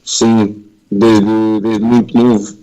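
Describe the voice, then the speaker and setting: A man's voice: fairly low pitch, flat delivery, takes it slowly, mellow, rough recording. A young man, born in Angola and raised in Portugal, answering interview questions politely and modestly as a footballer. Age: young adult. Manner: polite, modest, plain-spoken. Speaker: footballer guest.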